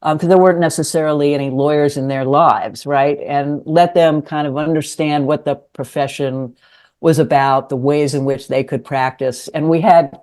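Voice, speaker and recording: An older woman from New York City, a lawyer and writer, answering interview questions in a thoughtful, hesitant way with many pauses. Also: low-pitched, steady tone, darkish tone. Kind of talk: conversational interview.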